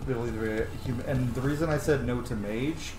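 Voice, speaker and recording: A man chats casually over his video game, rambling with a dry playfulness. His pitch is middling. The room is echoey, with clear noise behind him. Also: a little fast. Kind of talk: casual let's play commentary. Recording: roomy echo, loud background game noise.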